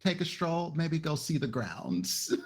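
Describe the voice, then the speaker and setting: A man with a husky, breathy voice plays a tabletop roleplaying game. He describes his character's actions casually and at a slow pace. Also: a little high, very animated, sharp tone.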